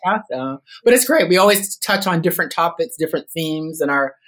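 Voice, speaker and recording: A middle-aged Canadian man being interviewed on a podcast, talking in a measured way with frequent ums and restarts. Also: fairly high pitch, lively intonation, fairly bright tone. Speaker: podcast guest, business consultant. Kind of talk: casual interview chat.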